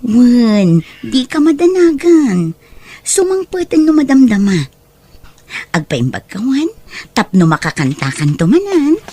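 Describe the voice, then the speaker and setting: A woman with a Filipino accent playing a greedy, scheming aunt in an audio drama, expressive and falsely soothing. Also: fairly high pitch, a little slow, pauses often. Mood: alarmed, soothing. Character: scheming greedy aunt exploiting her young singing nephew. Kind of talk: Ilocano audio drama acting.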